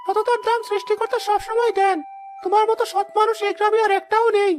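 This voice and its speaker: A woman's voice: very high voice, little pitch movement, quick pace. A woman voicing a treacherous, greedy male village trader in a cartoon story. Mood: ingratiating.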